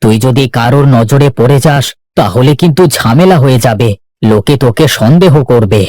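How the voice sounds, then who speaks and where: A man playing an anxious village headman in an animated moral story, giving orders and warnings in a cautionary way. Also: medium pitch, fairly quick, no room sound, close to an everyday voice. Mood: worried, cautioning. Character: anxious village headman, worried father in hiding. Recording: music behind the voice.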